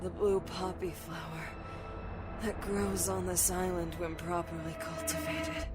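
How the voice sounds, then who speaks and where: A woman playing a ruthless, condescending crime boss in an audio drama, speaking with deliberate menace. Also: lowish voice, flat delivery, lightly acted. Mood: menacing. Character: cold, taunting female crime boss, ruthless drug maker. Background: music.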